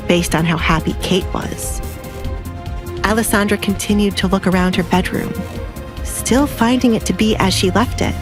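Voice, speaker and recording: A woman reading scripted dramatic fiction as an audiobook narrator, with music behind her. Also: fairly low pitch.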